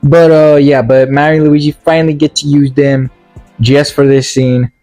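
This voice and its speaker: A man giving a casual, opinionated movie review as a video commentator, in a halting, repetitive, slangy way. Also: a little high, level tone, dark tone, faint music behind.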